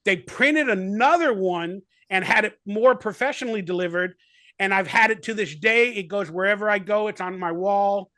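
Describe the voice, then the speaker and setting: A man's voice: sits high, normal speed, bright, forceful tone, dry recording. A man in his forties, a guest actor on a video call, talking in a rambling, enthusiastic way full of fillers. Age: 40s. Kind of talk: casual remote interview.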